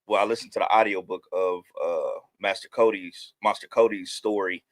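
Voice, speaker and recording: A man's voice: deep voice, steady tone, normal speed, no echo. A man with an American accent in a casual podcast discussion, speaking informally with slang and swearing. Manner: slangy, informal, uses profanity. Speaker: podcast panelist.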